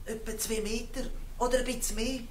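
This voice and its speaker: A woman's voice: fairly high pitch, flat delivery, fast, husky. A woman with a Swiss German accent playing an unsure, questioning young boy in a radio play, hesitant and doubtful. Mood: discouraged. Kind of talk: radio play acting in dialect.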